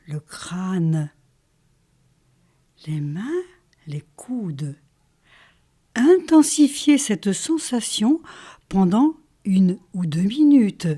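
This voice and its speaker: Slow, mellow-voiced woman guiding meditation. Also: mid-range voice, breathy edge. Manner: calm, soothing, short phrases with pauses. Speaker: yoga nidra meditation teacher. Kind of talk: guided relaxation meditation, instructional.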